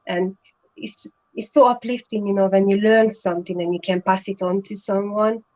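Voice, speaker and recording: Woman, earnest and halting. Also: medium pitch, fairly even intonation, darkish tone, low-quality recording. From Hungary. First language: Hungarian. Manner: earnest, many fillers and restarts. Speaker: guest, online business partner. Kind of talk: casual live-stream chat.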